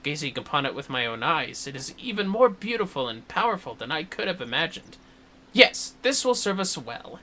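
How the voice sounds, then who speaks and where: A woman voicing a solemn shinobi master from a game, instructing a pupil in a grave, measured, formal manner. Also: deep voice, big pitch swings, sharp tone, pitched below the performer's own voice, full character voice. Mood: solemn, reverent. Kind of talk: game dialogue read aloud.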